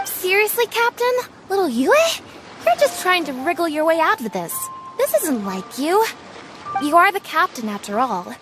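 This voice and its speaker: An earnest young sailor girl in a game, coaxing and insistent, her voice pitched very high and swinging widely. Game music plays underneath. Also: sing-song, normal speed.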